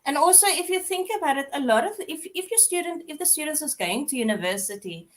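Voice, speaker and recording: A woman's voice: high voice, animated, quick pace. A woman hosting a live online Q&A, reading out questions in a chatty way and passing them on. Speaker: host.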